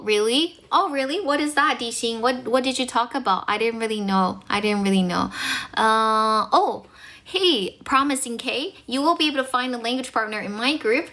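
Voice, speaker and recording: Bright-voiced young Chinese woman streaming. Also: on the high side. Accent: Chinese, North American-tinged English. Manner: chatty, fast-talking, laughs often, mixes in Chinese. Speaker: English-speaking livestream host. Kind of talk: casual livestream chat with viewers.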